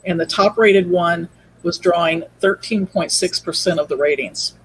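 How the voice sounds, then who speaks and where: A woman's voice: on the low side, normal speed, slight background noise, rough recording. A woman speaking as an interview guest, telling her story in a casual, conversational way. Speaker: podcast guest, audio drama producer.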